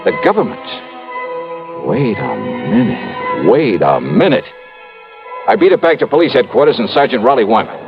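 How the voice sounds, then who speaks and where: An American actor playing a brisk, self-assured male insurance investigator in an old-time radio drama, with clipped, wry delivery. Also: medium pitch, sing-song. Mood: intent, excited. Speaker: lead actor and narrator. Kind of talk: old-time radio detective drama, first-person narration. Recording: dull old radio recording with music behind.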